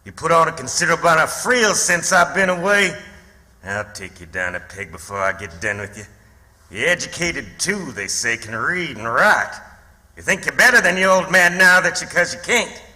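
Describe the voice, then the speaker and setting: A man's voice: on the high side, big pitch swings, moderate pace, bright, forceful tone, full character voice. An actor playing a menacing, drunken old backwoods father with a rural Southern American accent, sneering and taunting in radio drama style. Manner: sneering, taunting, folksy dialect. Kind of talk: theatrical radio drama acting. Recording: long hall reverb.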